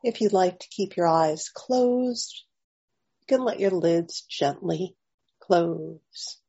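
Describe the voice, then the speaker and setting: A woman leading a guided meditation, offering gentle invitations with long pauses between phrases, in slightly dull audio. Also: lowish voice, lively intonation, creaky, husky. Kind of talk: slow guided meditation narration.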